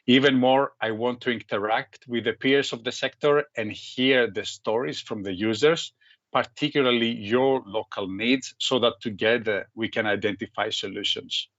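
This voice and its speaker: A man's voice: mid-range voice, moderate pace, fairly bright tone, dry recording. A man from Greece giving an earnest, prepared self-introduction as a researcher over a video call. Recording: dull lo-fi sound.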